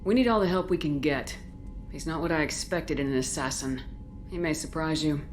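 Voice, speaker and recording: An actress playing a determined military spaceship commander in a video game, speaking in a direct, businesslike way. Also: female voice, sits low, fairly quick, faint music behind, natural voice. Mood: questioning, frank. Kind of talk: video game cutscene acting.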